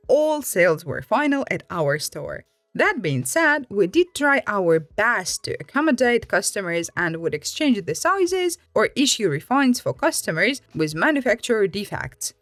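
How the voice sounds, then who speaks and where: A woman hosting a storytime channel, reading aloud in an expressive, animated and informal way. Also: mid-range voice, dry recording. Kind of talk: expressive storytime read-aloud with personal reactions. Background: background music.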